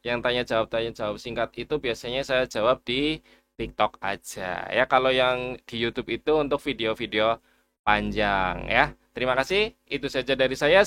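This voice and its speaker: Smooth-voiced man explaining steps. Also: medium pitch, fairly bright tone. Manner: chatty, reassuring, addresses viewers as friends. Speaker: education YouTuber explaining teacher data portal. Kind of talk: casual screen-share tutorial.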